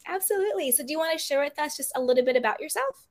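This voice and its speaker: A woman's voice: sits high, expressive, rapid-fire. A woman hosting a podcast interview, talking in a chatty, upbeat way with plenty of "like" and "you know". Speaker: podcast host. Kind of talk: casual interview chat.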